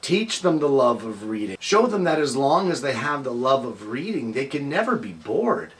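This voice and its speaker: An older man talks to the viewers of his show in a mid-range voice. His delivery is casual and earnest, with lively rises and falls in pitch. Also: normal speed, distant mic. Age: middle-aged or older. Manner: earnest, addresses viewers as guys. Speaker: show host. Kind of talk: casual address to viewers and animated children's storybook reading.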